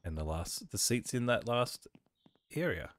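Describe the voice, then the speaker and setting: A man with a cold casually commentating his own gameplay, reading on-screen prompts aloud and thinking out loud as he plays. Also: medium pitch, big pitch swings, measured pace, a little hiss or hum. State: has a cold. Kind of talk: casual let's-play commentary.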